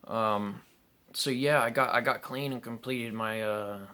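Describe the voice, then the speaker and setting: A man's voice: mid-range voice, steady tone, a little slow, pushed and bright. A young man telling his own story to camera in an unscripted, candid way, with frequent ums and restarts. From South Carolina, United States.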